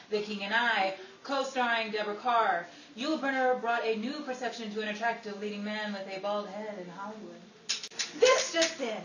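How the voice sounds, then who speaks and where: Faint noise n echo, lady doing a sassy 50s hollywood radio showgirl, brisk, highish voice. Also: close to an everyday voice. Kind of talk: old-time radio comedy acting and newsflash narration. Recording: echoey, distant recording with faint noise.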